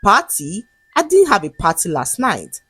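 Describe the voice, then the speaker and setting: A woman's voice: mid-range voice, huge pitch swings, a little slow, bright, forceful tone, husky, no room sound, clean studio sound, exaggerated voice. Woman playing a haughty, curt rich young woman. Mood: dismissive. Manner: curt, dismissive. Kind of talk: dramatic storytelling dialogue.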